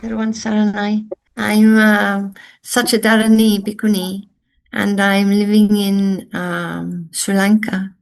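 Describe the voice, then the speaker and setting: Slow, thoughtful nun. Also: female voice, medium pitch, level tone, vocal fry. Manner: thoughtful, with repeated restarts. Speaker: Buddhist nun student. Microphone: video call.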